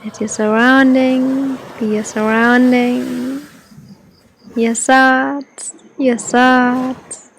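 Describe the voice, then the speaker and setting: A woman leading a guided meditation in a soft, gentle, soothing manner, leaving long pauses between phrases. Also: high-pitched, little pitch movement, gravelly, slight background noise, poor audio.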